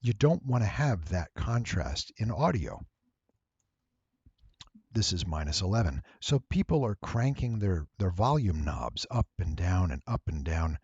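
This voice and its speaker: Low-voiced man, tutorial narration, emphatic, animated intonation, dull recording. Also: unhurried, husky, dry recording. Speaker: voice actor giving an audio editing tutorial. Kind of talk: explanatory screen-recording narration.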